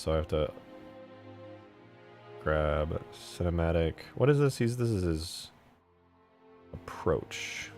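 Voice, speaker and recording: A man's voice: low-pitched, a little mellow. A man live streaming while he works, casually thinking aloud and muttering questions to himself. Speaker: game modding live streamer.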